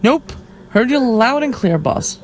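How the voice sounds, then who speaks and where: An actress playing a defiant, deluded condemned killer and artist in an audio drama, theatrical and flippant. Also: female voice, deep voice, lively intonation, measured pace, a little hiss or hum. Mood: breezy.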